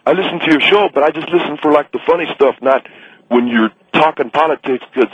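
A man's voice: on the high side, brisk, husky, highs cut off. A young man calling in to a talk radio show, brash, mocking and crude as he argues with the host and another caller. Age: young adult. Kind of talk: heated talk radio call.

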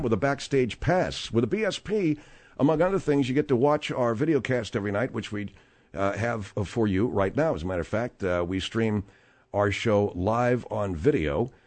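A man's voice: medium pitch, a little mellow. A man hosting a comedy talk radio show. He delivers brash plugs and teases his guests. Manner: brash, quick plugs and teasing.

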